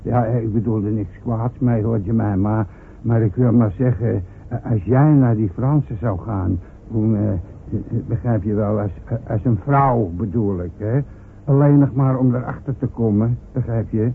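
An actor playing a timid, bumbling old villager who stammers and hesitates, in a radio drama. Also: male voice, on the low side, normal speed, gravelly. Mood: awkward, evasive. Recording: tinny, noisy old radio recording.

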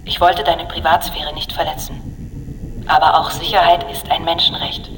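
Woman playing polite insistent computer intelligence, radio drama, soft, reverberant, faint noise behind. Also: low voice, level tone, moderate pace, lightly acted. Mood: gentle, coaxing. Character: gentle, insistent female artificial intelligence.

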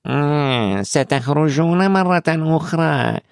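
A man's voice: fairly high pitch, expressive, slow, creaky, dry recording, clean studio sound, voice pushed up, exaggerated voice. A man voicing a timid but stubborn schoolboy who insists in a strained, pleading way. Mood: insistent.

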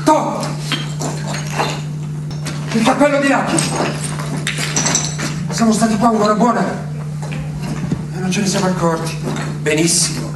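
Restless philosophizing tramp, high register, declamatory stage delivery, heavy echo. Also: male voice, animated, a little slow, long pauses, heavy background noise. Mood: musing, restless. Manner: declamatory, exclamatory, prone to speechifying. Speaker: stage actor. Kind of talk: theatrical stage acting, absurdist play. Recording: echoey distant stage recording with loud music.

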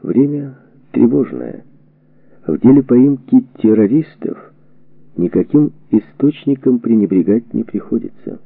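A man reading a historical book as a scripted audiobook narration, in a measured, deliberate way with weighted pauses, on a narrow lo-fi recording. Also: mid-range voice, expressive, creaky, dry recording. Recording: narrow phone-like band, lo-fi audio.

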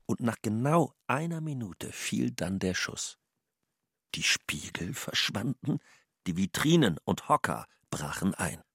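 A man's voice: lowish voice, sing-song, a little slow, a bit bright, husky, dry recording, clean studio sound. A man narrating a radio drama from a script as a storyteller.

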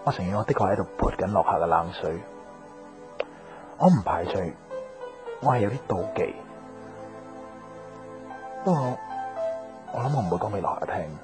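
An actor playing a hesitant, secretly jealous young man, a close friend, in a radio drama, halting and introspective, with a Hong Kong Cantonese accent. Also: low-pitched, expressive, over music. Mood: subdued, wistful. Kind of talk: radio drama dialogue and inner monologue.

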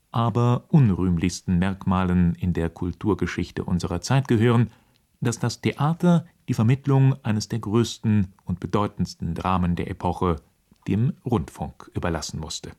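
A man reciting quoted passages slowly and weightily in a radio documentary. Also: lowish voice, expressive, dark tone. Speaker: voice reading quotations. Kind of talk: scripted reading of quoted text.

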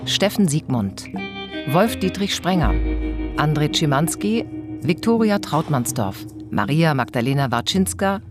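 A woman's voice: sits low, big pitch swings, unhurried, over music. A woman announcer giving a scripted reading of radio drama credits.

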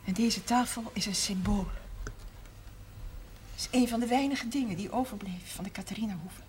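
A woman's voice: mid-range voice, normal speed, darkish tone, natural voice. Actress as a steadfast mother, noisy old recording. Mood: composed, solemn. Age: middle-aged. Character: steadfast, emotional mother of a large Limburg family. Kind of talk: 1970s television drama acting.

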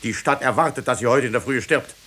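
An actor playing a stern, commanding judge who will not bend, in formal 1950s German radio-drama style. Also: male voice, medium pitch, quick pace, fairly bright tone, husky. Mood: stern, unyielding. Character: stern, unyielding male judge of authority.

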